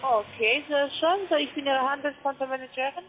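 A woman who is a non-native speaker delivers an insistent scripted sales pitch as a telephone account manager. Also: fairly quick. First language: not German. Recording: tinny noisy phone line.

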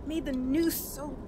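An actress playing a desperate, guilt-ridden woman in a horror radio play, halting and repeating herself as she pleads through tears. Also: sits high, heavy background noise. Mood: tearful pleading. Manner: halting, repetitive, sobbing, pleading. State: crying, panicked.

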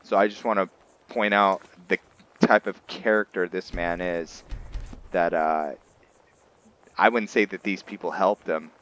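Very low-voiced man doing casual game commentary. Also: fairly even intonation, measured pace, pauses often, fairly bright tone, slight background noise. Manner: explains by asking and answering own questions. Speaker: gamer narrating a roleplay playthrough.

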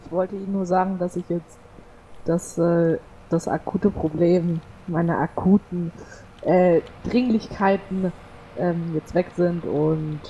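Hesitant male roleplayer, mellow tone, faint noise on the line. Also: sits high, fairly even intonation, slow pace, dull audio. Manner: hesitant, fumbling for words. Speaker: roleplay player as farm worker. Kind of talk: roleplay over voice chat.

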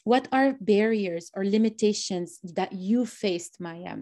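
A woman's voice: medium pitch, slow pace, mellow. Eager woman hosting a video call. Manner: enthusiastic, many fillers. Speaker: host. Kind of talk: casual interview chat. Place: small room indoors.

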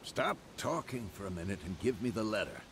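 An actor playing a seasoned older monster hunter in a video game, a wry, measured mentor with a gravelly voice. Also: male voice, on the low side, lively intonation, background noise, lightly acted. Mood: inquisitive. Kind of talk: video game cutscene acting.

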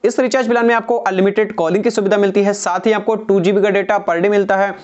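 Brief: young man with a high voice, steady explainer who keeps calling viewers friends, slightly dull lo-fi lapel sound. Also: quick pace. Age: young adult. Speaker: tech channel host. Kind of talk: explaining phone recharge plans to camera. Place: small indoor room, red backdrop. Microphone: clip-on lapel mic.

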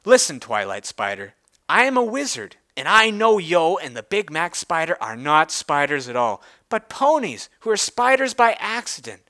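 Someone doing a wise lady spider wizard, storybook style, solemn and bright. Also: mid-range voice, very animated, moderate pace.

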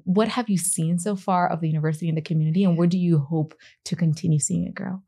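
A woman's voice: on the low side, fairly even intonation, fast talker, vocal fry, mellow, slightly rough. A woman interviewing a guest in a small studio at a desk mic, affirming and encouraging her as she asks questions. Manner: affirming, encouraging, lots of back-channel agreement. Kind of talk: interview hosting. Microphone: desk mic on an arm.

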